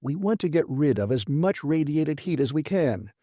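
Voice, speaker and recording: A man voicing a gruff, blunt and defiant male maintenance chief in an audiobook, arguing emphatically. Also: fairly high pitch, very animated, moderate pace, dark tone, no room sound, studio quality. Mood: growling, irritated. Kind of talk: dramatised audiobook reading.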